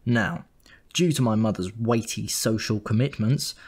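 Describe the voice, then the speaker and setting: A man with a fairly low voice narrates a comic first-person story. His delivery is wry and dry, like a scripted audiobook read. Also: measured pace, darkish tone, breathy edge.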